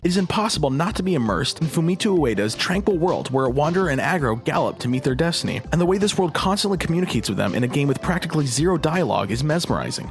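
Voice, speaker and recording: A man reading scripted review narration as a video essay narrator. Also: on the high side.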